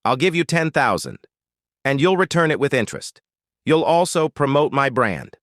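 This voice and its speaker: Man acting a shady, terse Nigerian moneylender, pitched up. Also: very animated, moderate pace, fairly bright tone, no room sound, clean studio sound. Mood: cool, demanding. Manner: terse, controlling.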